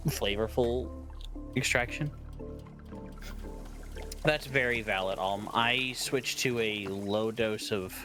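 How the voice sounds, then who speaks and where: A man talking casually as a guest co-streamer on a game stream. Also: on the low side. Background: music.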